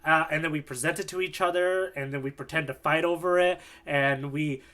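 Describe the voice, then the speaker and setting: A man's voice: a little high, fairly even intonation, a little fast, bright, forceful tone. A man co-hosting a podcast, chatting casually in an easygoing, agreeable way with many fillers. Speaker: podcast co-host. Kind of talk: casual chat.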